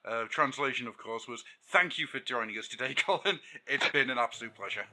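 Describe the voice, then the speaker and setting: A man hosting a podcast, jokey and quick, laughing often. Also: mid-range voice, lively intonation, pushed and bright. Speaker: podcast host. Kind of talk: casual podcast chat.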